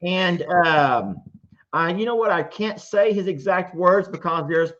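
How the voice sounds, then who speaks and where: A man's voice: high-pitched, expressive, measured pace, fairly bright tone. A man hosting a call-in show, chatty and rambling, who laughs easily. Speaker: show host taking callers. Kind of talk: live call-in chat. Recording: slightly muffled audio.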